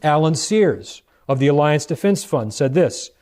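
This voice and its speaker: Mellow, higher-pitched American male preacher. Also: animated. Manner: deliberate, explanatory, rhetorical questions to listeners. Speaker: preacher, church elder. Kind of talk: sermon-like Bible study lecture.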